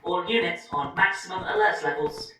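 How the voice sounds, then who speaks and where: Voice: animated, normal speed, bright, forceful tone. An actor playing an android military officer in an audio drama, giving precise, unemotional, clipped formal reports. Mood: factual. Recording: echoey, distant, heavy background rumble.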